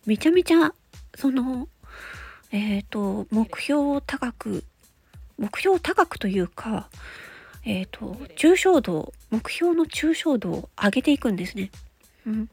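A woman's voice: on the high side, warm tone, breathy edge. A woman hosting an audio show, explaining things step by step in a casual, polite way.

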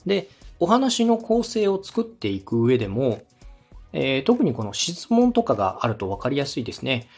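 Lively, slightly husky man coaching politely. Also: medium pitch, normal speed, soft background music. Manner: polite, instructive. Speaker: podcast host and radio director. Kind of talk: explanatory coaching talk on a podcast.

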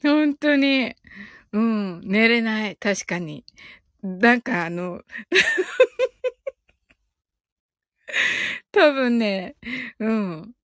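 A woman's voice: on the high side, very animated, very slow, pauses often, fairly bright tone, no room sound, clean studio sound. A woman hosting a casual live audio stream, chatting politely with her listeners and often breaking into giggles.

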